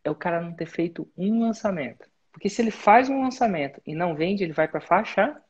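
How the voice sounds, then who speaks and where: A man's voice: high voice, expressive, fairly quick, breathy edge, dull audio. A man hosting a live coaching session, giving direct, instructive advice to students. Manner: direct, instructive, uses sports-belt metaphors. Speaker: business mentor and host.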